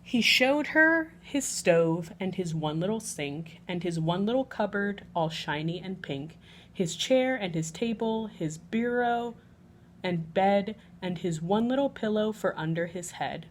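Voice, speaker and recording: A woman reading a rhyming picture book aloud for children as a bedtime story, expressive and measured, stretching the ends of lines. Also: medium pitch, a little hiss or hum.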